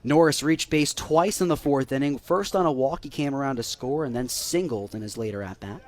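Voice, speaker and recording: An American man doing live baseball play-by-play on the radio, measured between pitches and lifting with excitement on plays. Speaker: play-by-play broadcaster.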